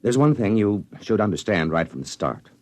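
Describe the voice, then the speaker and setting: An actor in a 1950s radio drama playing a grave man confiding a secret to a professor in a measured voice. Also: on the low side, sing-song, darkish tone, dry recording.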